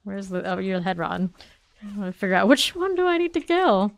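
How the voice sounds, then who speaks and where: A woman's voice: medium pitch, sing-song, a little fast, dry recording. A woman streaming a game, talking casually to herself as she plays and weighs her choices aloud. She sounds congested and sniffly from a cold. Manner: thinks aloud, chatty and self-questioning. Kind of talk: casual gameplay commentary.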